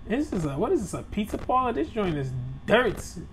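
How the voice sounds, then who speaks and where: A man's voice: sits high, very animated, normal speed, fairly bright tone, a little hiss or hum, low-quality recording. A young man casually narrating his first run of a horror game, joking, swearing and talking straight to his viewers. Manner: loose, joking trash talk with slang and swearing. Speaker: gaming video creator. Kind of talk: live gameplay commentary.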